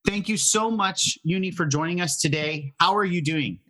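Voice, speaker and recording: A man hosts a podcast with a bright, forward voice. His pitch runs a little higher than most men's, and he speaks as a presenter to his audience. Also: moderate pace. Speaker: podcast host. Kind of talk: conversational podcast hosting.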